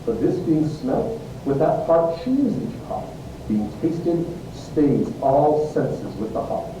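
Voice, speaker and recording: A man playing a kindly older friar in an amateur Shakespeare reading, moralising in a measured, declamatory way. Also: expressive. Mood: sententious. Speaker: amateur reader, English teacher. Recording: very echoey, distant, noisy with low rumble.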